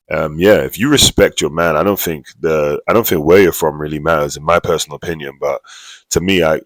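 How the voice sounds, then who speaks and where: Deep-voiced male host, dry close mic, animated and repetitive when making a point. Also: dry recording. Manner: animated, repeats phrases for emphasis. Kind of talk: casual commentary.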